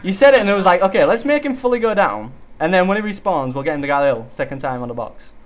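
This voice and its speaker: A young man hosting a casual co-op zombie game session, excitable and chatty, bursting into loud hype over his weapon. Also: high voice, fast, soft background music. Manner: excitable, hypes gun, swears mildly. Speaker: gaming channel host. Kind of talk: casual co-op gameplay chat.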